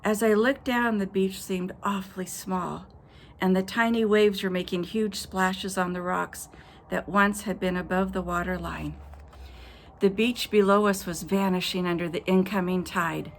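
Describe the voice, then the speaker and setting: A woman's voice: mid-range voice, slight background noise. A woman pastor reading an adventure story aloud to children, voicing the quoted characters and stopping to talk to her young listeners. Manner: expressive storyteller, voices quoted characters, pauses to address kids.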